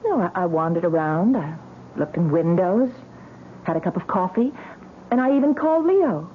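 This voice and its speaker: A woman's voice: lowish voice, animated, moderate pace, narrow, tinny audio, slight background noise, close to an everyday voice. An actress playing an anxious woman desperate to be believed in a vintage radio drama, earnest and wounded, by turns hesitant and emphatic. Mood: weary, defensive. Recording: band-limited old radio recording with music.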